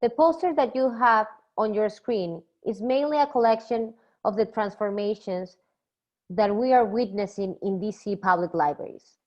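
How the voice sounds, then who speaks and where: Young peruvian woman reading her grad school poster talk, steady and measured, kinda muffled lo-fi audio. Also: medium pitch. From Peru. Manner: measured, reads from a script. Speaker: PhD student presenting a poster. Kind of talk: read academic presentation. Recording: slightly dull lo-fi recording.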